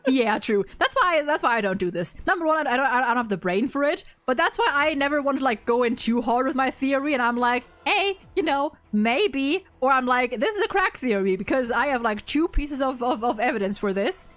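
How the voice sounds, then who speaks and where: A woman hosting a livestream, chatting casually and excitably and swearing freely. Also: high voice, fairly quick, few pauses. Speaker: streamer host.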